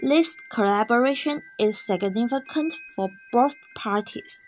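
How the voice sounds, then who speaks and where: A woman's voice: a little high, lively intonation, unhurried. A young woman playing a formal businesswoman in a student English radio drama. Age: young adult. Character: formal businesswoman negotiating a partnership. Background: faint background music.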